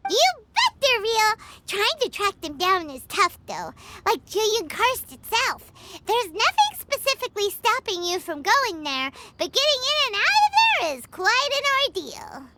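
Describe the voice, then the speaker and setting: A woman voicing a tiny, excitable childlike fairy companion in an anime video game, bubbly and chirpy, with music behind her. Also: very high-pitched, measured pace, few pauses, very noisy, exaggerated voice. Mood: emphatic, chatty.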